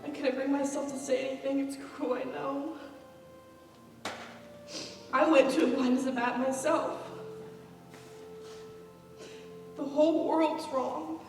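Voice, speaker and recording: Wistful mother of the bride, played by a woman, high voice, recorded far off in an echoey hall. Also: flat delivery, a little slow, pauses often, faint music behind. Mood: rueful. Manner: wistful, musing aloud. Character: anxious mother on her daughter's wedding day. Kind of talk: stage play acting. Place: stage in a large hall.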